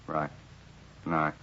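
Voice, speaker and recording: A man acting a weary, put-upon bar employee in a 1950s radio drama, rambling along plainly in an American accent with a husky voice. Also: extremely low pitch, sharp tone, a little hiss or hum, heavily acted. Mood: meek, placating. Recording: muffled 1950s radio recording.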